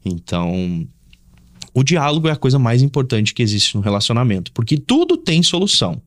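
A man's voice: medium pitch, wildly swooping pitch, brisk, dry recording, studio quality. A man with a southern Brazilian accent giving casual, reassuring relationship advice as a host.

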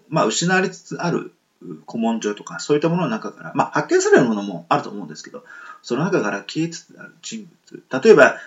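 A man hosting a solo podcast, talking to listeners in a reflective, polite and rambling casual monologue. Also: medium pitch. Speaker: solo podcast and radio show host. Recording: muffled lo-fi, soft guitar music behind. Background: plucked guitar music.

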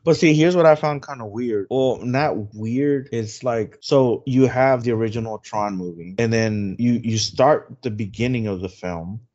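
Guy whose first language is spanish rambling on a podcast, lots of likes and restarts, middle pitch, kinda muffled sound. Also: a little slow, few pauses, darkish tone. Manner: rambling, many fillers and restarts, swears casually. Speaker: podcast co-host. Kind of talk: casual podcast chat. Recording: dull lo-fi sound.

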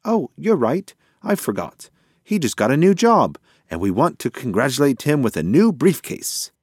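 A man voicing an excitable, muddle-headed, fussy male pigeon in a children's storybook, blustering and exclaiming. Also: medium pitch, normal speed, breathy edge, dry recording, studio quality, pitched above the performer's own voice. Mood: flustered, fussy.

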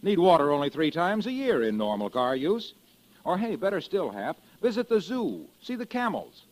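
Animated, persuasive battery pitchman. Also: moderate pace. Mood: upbeat, persuasive. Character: upbeat, persuasive male battery salesman. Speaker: announcer and sponsor pitchman. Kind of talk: 1950s radio commercial sketch.

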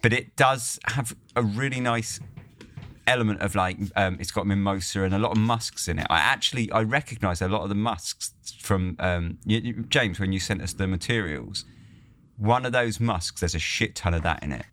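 A young man co-hosting a casual podcast, talking in animated bursts, stumbling and repeating words as he starts sentences. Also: on the low side, moderate pace, dry recording. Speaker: podcast co-host. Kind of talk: casual chat.

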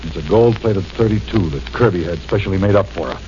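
A wary, blunt mob tipster, played by a man, his voice fairly low and his slang plain. The old radio transcription is muffled, with faint hiss. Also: fast talker, a little mellow, lightly acted. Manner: low, blunt gangster talk. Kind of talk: 1940s radio drama acting.

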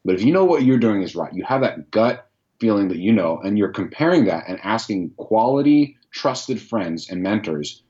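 A man with a very deep, low voice talks through his answers slowly and earnestly, as if working them out aloud. The sound is a little dull and lo-fi. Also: animated, mellow. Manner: reflective, earnest, thinks aloud. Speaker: podcast host, guest here. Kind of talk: casual interview answers.

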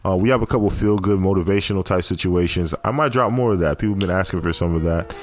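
Slangy low-voiced male podcast host. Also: quick pace. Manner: slangy, teasing, blunt. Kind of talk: casual podcast chat.

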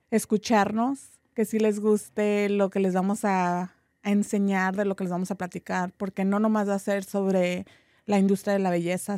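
A woman's voice: medium pitch, warm tone, no echo, clean studio sound. Blunt slangy woman with a Tijuana accent. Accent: Tijuana Mexican Spanish. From Tijuana, Mexico. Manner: blunt, slangy, laughs often. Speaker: podcast co-host. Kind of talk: casual chat.

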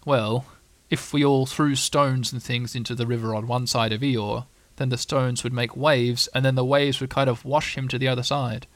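A man's voice: medium pitch, steady tone, normal speed, hardly any pauses, a bit bright, no echo, lightly acted. A man voicing a simple, good-natured male toy bear in a storybook, earnest, naive and hesitant.

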